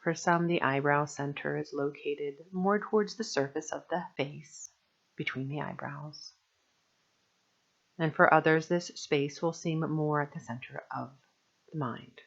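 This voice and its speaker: A woman guiding a meditation as a teacher, speaking gently and soothingly in measured phrases with long pauses. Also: deep voice, creaky, lo-fi sound. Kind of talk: guided meditation narration.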